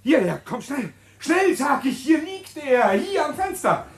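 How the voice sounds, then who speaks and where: A fretful polite husband. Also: male voice, very high voice, very animated, unhurried, heavy background noise, exaggerated voice. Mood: frantic. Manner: polite, hesitant, later frantic shouting. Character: anxious middle-aged husband, narrator of a crime story. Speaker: narrator and lead character. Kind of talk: radio crime drama acting.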